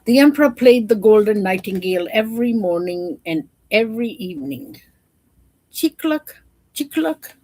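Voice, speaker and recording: A woman's voice: mid-range voice, animated, fairly quick, few pauses, a little mellow, dry recording. A woman storyteller reading a children's storybook aloud and explaining it simply to young listeners.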